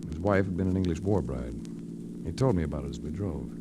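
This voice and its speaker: An actor playing a wry private investigator who narrates his case in dry, measured 1940s radio drama style. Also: low-pitched, lively intonation. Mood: wry narrating. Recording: noisy vintage 1940s radio recording.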